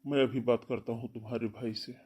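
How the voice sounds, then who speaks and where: A man voicing a gentle, powerless ageing father, soothing and yielding, in a cartoon family melodrama. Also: medium pitch, flat delivery, brisk, breathy edge, lightly acted.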